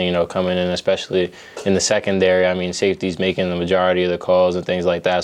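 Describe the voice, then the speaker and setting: A young freshman player answers in a steady, matter-of-fact way. His voice is deep and almost completely flat in pitch. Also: smooth. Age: young adult. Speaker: college football player. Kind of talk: casual interview answers.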